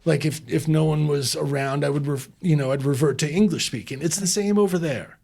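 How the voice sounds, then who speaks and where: An impassioned man in a sit-down conversation. He presses his points hard, circles back and restarts sentences as he builds. His voice sits a little high for a man. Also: brisk, gravelly, darkish tone. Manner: impassioned, emphatic, with frequent restarts. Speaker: interview guest, former chef turned humanitarian. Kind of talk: conversational interview.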